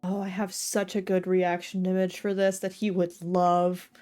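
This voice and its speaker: A woman's voice: fairly low pitch, flat delivery, moderate pace, mellow. A woman livestreaming a game with casual, slangy, self-deprecating commentary and jokes. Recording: game music playing behind voice.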